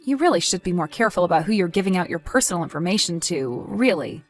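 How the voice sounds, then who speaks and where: A woman's voice: on the low side, lively intonation, quick pace, soft background music, lightly acted. A woman playing a caring, cautious and practical wife, fussing conversationally in an audio drama. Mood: worried, insistent.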